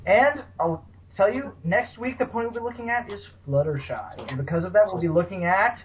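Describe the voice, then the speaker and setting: A man's voice: sits high, expressive, a little fast, slight background noise. A man hosting a casual podcast chat, sarcastic and mock-dramatic, swearing freely. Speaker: podcast host. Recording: dull, lo-fi podcast audio.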